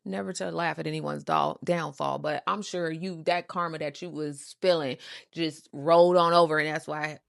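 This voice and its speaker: A woman with an American accent telling a story casually, with a sassy, animated, emphatic and slangy delivery. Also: low-pitched, normal speed, creaky, dry recording, clean studio sound. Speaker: storytime show host. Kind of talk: casual storytelling, acting out quoted conversations.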